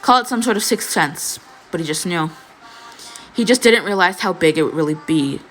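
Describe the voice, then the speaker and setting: Young woman narrating, slightly low voice. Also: expressive, moderate pace, a little hiss or hum. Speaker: fan fiction narrator. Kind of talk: expressive scripted story narration, casual asides.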